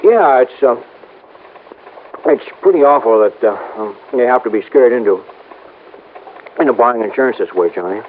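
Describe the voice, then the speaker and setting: An actor playing an earnest, folksy small-town insurance agent with a hesitant, homespun way of talking in a 1950s radio drama. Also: male voice, medium pitch, expressive, normal speed, pauses often, lightly acted. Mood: uneasy, sincere. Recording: noisy, narrow-band old radio recording.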